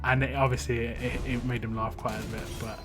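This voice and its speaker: A man talks loosely through a gaming live stream, rambling from topic to topic and answering his chat. His voice sits at an ordinary middle pitch, and game music plays clearly underneath. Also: little pitch movement, fairly quick.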